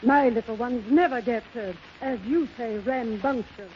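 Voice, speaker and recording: A woman's voice: a little high, moderate pace, lightly acted. An actress playing a genteel, easily flustered mother with a proper, hesitant manner in a 1930s radio comedy. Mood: prim. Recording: muffled, faintly noisy 1930s radio recording.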